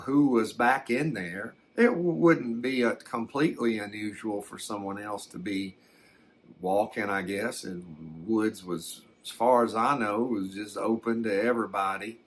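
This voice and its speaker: A man voicing a plainspoken, folksy fellow recounting a strange encounter in halting, rambling, unhurried ASMR storytelling. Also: on the low side, a bit bright. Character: folksy man recounting his alien abduction.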